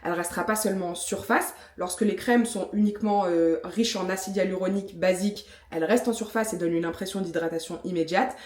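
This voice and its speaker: A woman's voice: fairly low pitch, fast talker, few pauses, a little mellow. A woman in her thirties from France, talking chattily to camera with frequent fillers and self-mocking asides while reviewing skincare. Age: 30s. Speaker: vlogger. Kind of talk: casual vlog talk with product review. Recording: echoey room.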